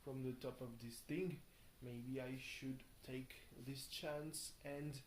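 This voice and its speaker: Slow, tired young man rambling in echoey room. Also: medium pitch, fairly even intonation. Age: young adult. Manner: halting, thinks aloud, self-questioning, chuckles at himself. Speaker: video blogger. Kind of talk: casual rambling video diary. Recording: roomy echo, faint background noise.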